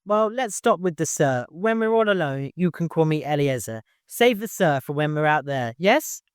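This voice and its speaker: A man's voice: on the high side, animated, moderate pace, clean tone, no room sound, clean studio sound, pitched above the performer's own voice, lightly acted. A man voicing a nervous but determined chamberlain in an audiobook, polite yet blunt. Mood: brisk, blunt.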